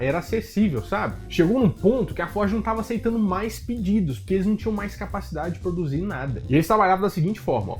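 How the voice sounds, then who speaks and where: Brazilian male host, young, joking explainer, animated and fairly high voice, soft music under it. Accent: Brazilian Portuguese. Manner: chatty, jokey, asks rhetorical questions. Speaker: YouTube host. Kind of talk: casual storytelling explainer.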